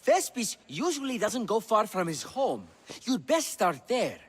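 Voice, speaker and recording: Very high, pompous playwright, reverberant. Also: male voice, sing-song, unhurried, husky, heavily acted. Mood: self-important. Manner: pompous, theatrical. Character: earnest, self-important, anxious male playwright. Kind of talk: video game cutscene acting. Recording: long echoing hall reverb.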